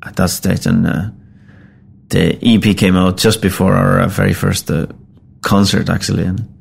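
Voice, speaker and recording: A middle-aged Finnish-born man with a Finnish accent, a rock musician talking casually in English, his second language, in a halting, rambling, self-deprecating way. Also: sits low, flat delivery, creaky, slightly rough. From Finland. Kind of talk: casual spoken account.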